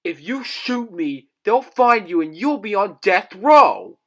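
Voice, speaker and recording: Actor as defensive president, slow drawn-out words, lively swings in pitch, disbelieving, audio drama. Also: exaggerated voice. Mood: wary.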